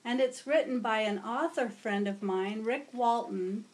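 Female storybook reader, middle-aged, unhurried and expressive, for young children, recorded in a home room. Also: on the high side, rough recording. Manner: measured, storytelling. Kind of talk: expressive read-aloud for children. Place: small home room with bookshelves.

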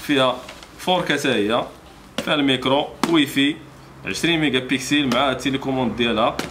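A man's voice: mid-range voice, fast talker. A man in his thirties with a Moroccan accent presenting products informally in a shop, with repetitive salesman patter. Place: small motorbike accessory shop, indoors. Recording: clearly noisy shop ambience.

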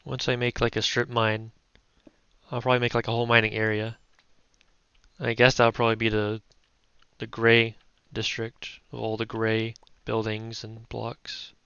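A young man giving relaxed, chatty gameplay commentary, thinking aloud as he plays. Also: fairly low pitch, normal speed, clear, resonant tone, dry recording, dull audio. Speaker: gaming YouTuber.

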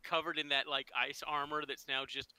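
A man's voice: on the high side, little pitch movement, normal speed, background noise. A man playing at a tabletop game, chatting lightly and joking about his character. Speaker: player. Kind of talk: casual chat at a tabletop game.

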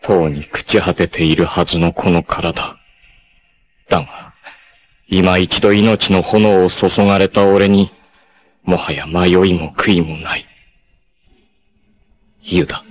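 A man voicing a proud young warrior in an anime, making solemn, defiant declarations in a low, intense voice with sudden high battle shouts. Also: fairly even intonation, slow pace, long pauses. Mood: solemn resolve. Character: proud long-haired young male warrior, grim and devoted. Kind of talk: 1980s anime dub acting. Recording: noisy old TV soundtrack.

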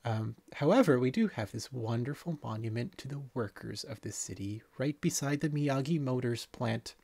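Man, thirties, gravelly and dry, laid-back rambling game tour. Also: medium pitch, normal speed, no room sound. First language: not French. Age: 30s. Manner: relaxed, rambling, many ums and uhs. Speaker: city-builder game creator. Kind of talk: casual game tour narration.

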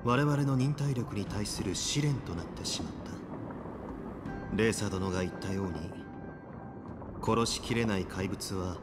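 Man acting serious low voiced officer guy from a game, solemn and formal, loud music behind. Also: measured pace, long pauses, natural voice. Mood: grave, composed. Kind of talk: Japanese video game voice acting. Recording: game music and background noise.

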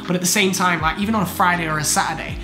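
A man's voice: high-pitched, fairly even intonation, very fast. A young man in his twenties, congested from a chest infection, talking casually to camera in a home study room about his weekly routine, gesturing a lot. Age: 20s. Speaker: medical student vlogger. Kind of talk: casual talking-head vlog. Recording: roomy, with background music.

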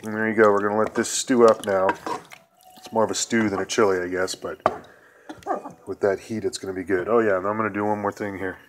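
A middle-aged man with a fairly low voice talks his way through a home cooking session, folksy and rambling. Behind him, pots and pans clatter loudly on the kitchen counter, caught on a handheld phone. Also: level tone, a little fast, noisy background. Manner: rambling, folksy, many fillers. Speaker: cooking video host. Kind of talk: casual cooking demonstration. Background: clattering pots, pans and cans.

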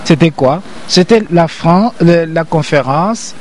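A man's voice: on the high side, animated, slow, a little hiss or hum. An older man, a professor, talking as an interview guest in an emphatic, lecturing way with frequent repetitions.

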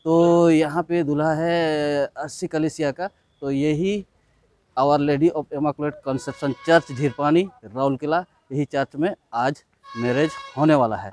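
A man's voice: fairly high pitch, a little mellow. A man, giving casual vlog commentary to his viewers. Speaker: vlogger. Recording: music behind the voice.

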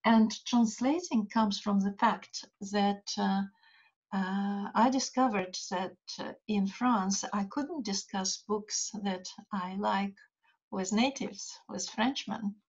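An older woman with a Russian accent speaks in a husky voice, pausing and hesitating as she reaches for English words. The audio is dull and lo-fi, as heard over a video call from her home study. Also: medium pitch. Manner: halting, many hesitations, searching for English words. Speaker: writer and translator.

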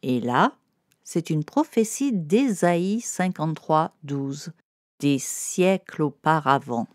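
A woman's voice: sits low, animated, slow pace, dry recording, studio quality. A woman narrating a scripted lesson for children, explaining simply and directly as if to one child.

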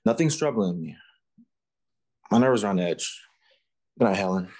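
An actor playing a tormented musician haunted by a sinister being, brooding and halting in 1940s radio horror style. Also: male voice, on the low side, lively intonation, a little mellow, breathy edge. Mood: strained, irritable.

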